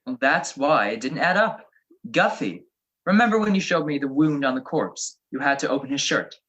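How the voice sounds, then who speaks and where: Man playing a witty, animated radio detective. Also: medium pitch, moderate pace, close to an everyday voice. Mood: wry. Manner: wry, explaining deductions with cool confidence. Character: witty, self-assured male private detective. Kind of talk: old-time radio detective acting.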